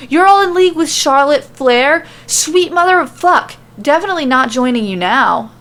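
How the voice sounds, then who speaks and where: Woman as a shrill, shrieking, panicky male wrestler. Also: animated, moderate pace, a bit bright. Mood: outraged panic. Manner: screaming, melodramatic.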